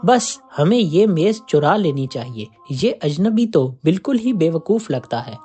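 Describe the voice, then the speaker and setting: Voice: medium pitch, big pitch swings, a little slow, warm tone, husky, soft background music. A narrator as a greedy, scheming villager. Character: greedy, scheming male villagers of a folk tale.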